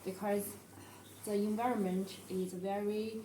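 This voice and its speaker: A woman who speaks English as a second language, presenting a product tutorial in a halting, step-by-step way. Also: mid-range voice, flat delivery, warm tone, a little hiss or hum. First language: not English. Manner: halting, step-by-step delivery with non-native phrasing.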